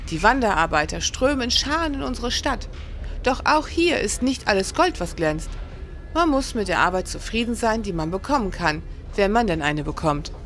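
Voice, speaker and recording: A woman's voice: medium pitch, a bit bright, close to an everyday voice. Woman acting guarded innkeeper, noisy backdrop. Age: middle-aged. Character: plump cozy innkeeper of about forty, guarded, plain-spoken. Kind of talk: historical radio play acting.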